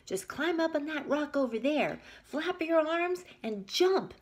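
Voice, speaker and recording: A chirpy little bird from a picture book, voiced by a woman reading aloud. It speaks in a raised, lively pitch and gives breezy instructions with easy confidence. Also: a little slow. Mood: breezy, confident. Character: small chirpy bird, confident young teacher.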